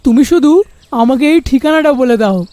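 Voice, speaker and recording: A woman's voice: high voice, lively intonation, normal speed, warm tone, no room sound. A woman voicing an earnest, practical father of a poor family in a cartoon horror story. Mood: practical, urging.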